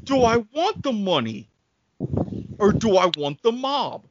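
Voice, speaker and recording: A man speaking as a podcast panelist in a casual debate, with a deliberate, emphatic and halting delivery. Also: sits high, sing-song, lo-fi sound, heavy background noise.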